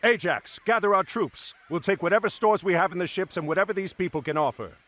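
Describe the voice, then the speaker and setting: A man's voice: high-pitched, fast talker, a bit bright. A man voicing a stern, steadfast warrior commander in a video game, serious and commanding. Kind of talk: dramatic game cutscene acting.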